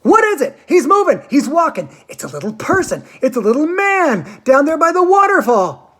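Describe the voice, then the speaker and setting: A bratty rich girl shrieks in a shrill, frantic voice, as a woman reading aloud puts her on. Her pitch climbs very high, well above the reader's own. The shouting is loud and urgent. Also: big pitch swings, normal speed.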